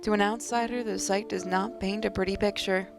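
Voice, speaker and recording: A woman's voice: mid-range voice, fairly even intonation, a little fast, creaky, music in the background. A woman reading a visual novel aloud as narrator, now and then stumbling and rereading a phrase.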